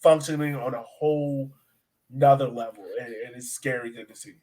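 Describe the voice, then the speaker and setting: A man's voice: mid-range voice, fairly even intonation, normal speed, darkish tone. A man co-hosting a sports podcast, talking casually about soccer in a slangy, emphatic way and repeating phrases.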